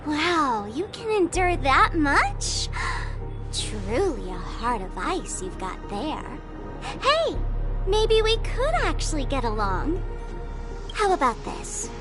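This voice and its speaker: A mischievous game trickster with a high, very lively voice. She draws out her taunts slowly and playfully, mocking and needling, over music. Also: heavily acted. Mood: sly, playful. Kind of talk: dramatic video game voice acting.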